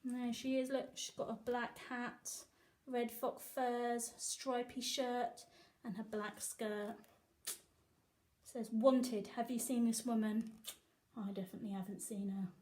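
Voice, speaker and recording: A woman's voice: fairly high pitch, level tone, slow pace. A woman reading a picture book expressively to children, emphatic and sing-song on rhymes.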